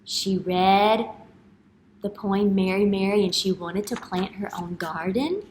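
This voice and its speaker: A woman in her thirties, an elementary teacher reading a picture book aloud and putting questions to young children in an expressive way. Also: mid-range voice, slow pace. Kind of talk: children's read-aloud and retelling lesson. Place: indoor classroom. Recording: clear background room noise.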